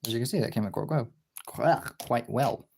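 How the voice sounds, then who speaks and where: A man's voice: mid-range voice, fairly quick, vocal fry, a little mellow, a little hiss or hum. A man talking in an informal, rambling way, thinking aloud as he gives a casual art demonstration as a streamer. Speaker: streamer, artist. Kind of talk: casual chat while demonstrating drawing.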